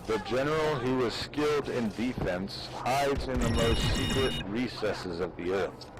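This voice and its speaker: A man giving a measured, solemn dramatic reading of an ancient text, declaiming it aloud. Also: medium pitch, lively intonation. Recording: noisy game voice chat. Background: online shooter game sounds.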